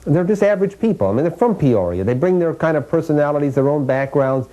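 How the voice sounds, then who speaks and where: Mellow American man explaining haltingly. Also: fairly high pitch, lively intonation, dull audio. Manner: halting, searching for words, explanatory. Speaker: radio historian, interviewee. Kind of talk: explanatory interview commentary.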